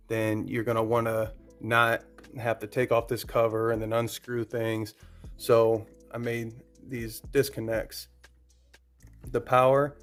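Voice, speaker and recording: Male DIY vlogger, mid-pitched, very even delivery, plain-spoken casual walkthrough, thinking aloud. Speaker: DIY car audio vlogger. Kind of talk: casual how-to explanation to camera.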